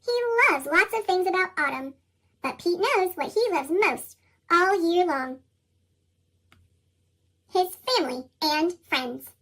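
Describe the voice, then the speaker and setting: A woman's voice: expressive, slow, pauses often, pushed and bright. An American woman reading a children's storybook expressively, her voice processed into a chipmunk effect. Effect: sped-up chipmunk voice, pitched very high. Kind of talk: expressive scripted read-aloud narration for young children.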